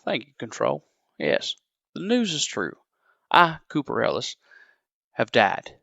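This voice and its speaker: Casting: a gravelly, scheming spymaster with a lively delivery, music under. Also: male voice, mid-range voice, slow, no room sound, lo-fi sound, full character voice. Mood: cryptic. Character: Cooper Ellis, scheming older male agency boss.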